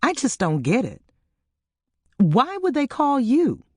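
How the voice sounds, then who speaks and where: A woman's voice: high voice, wildly swooping pitch, takes it slowly, no echo, studio quality, voice pushed up, full character voice. A woman voicing an ambitious, insistent wife and mother in an audiobook, with an eager, questioning manner. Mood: puzzled, probing.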